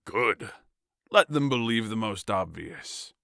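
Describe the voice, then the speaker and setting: A man playing a large, ruthless warrior king in a fantasy audio story, speaking in a measured, commanding, formal way. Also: on the low side, animated, creaky, sharp tone, dry recording, studio quality, full character voice. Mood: commanding, calculating. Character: large, tall warlord king, cold, commanding, ruthless strategist. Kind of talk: audio fiction acting.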